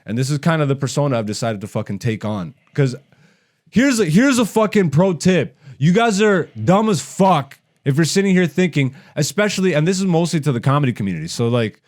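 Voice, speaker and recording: A man's voice: fairly high pitch, sing-song, brisk, a little mellow. A man from Canada, a stand-up comedian hosting a podcast, talks in a casual, blunt, slangy rant full of swearing. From raised in Canada.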